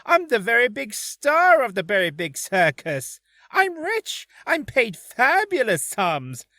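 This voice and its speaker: A man's voice: very high voice, huge pitch swings, slow, sharp tone, no echo, clean studio sound, pitched above the performer's own voice, heavily acted. A man voicing a flamboyant, vain circus magician in a video game, theatrical and boastful. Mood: boastful, indignant. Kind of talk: video game character acting.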